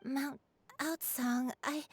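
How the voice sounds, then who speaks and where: A woman voicing a frail, gentle old woman with a failing memory in a video game, speaking softly and hesitantly. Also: high-pitched, steady tone, dry recording. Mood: distressed, dazed. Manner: slow, hesitant, polite. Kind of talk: video game character acting. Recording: game audio over music.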